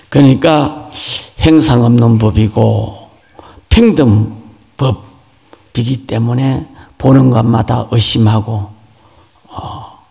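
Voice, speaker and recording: A man's voice: medium pitch, vocal fry, a little mellow. A man, a Buddhist monk, gives an explanatory dharma talk on scripture, posing questions and answering them. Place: large reverberant hall. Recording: long hall reverb.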